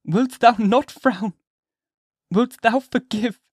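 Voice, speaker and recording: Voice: mid-range voice, takes it slowly, slightly rough, no room sound, voice pushed up. A reader puts on a desperate woman from a classic novel, her voice lifted far above the narration and trembling with pleading. She speaks in archaic, impassioned phrases. The recording is dry and close. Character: anguished woman pleading for forgiveness. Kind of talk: audiobook character voice.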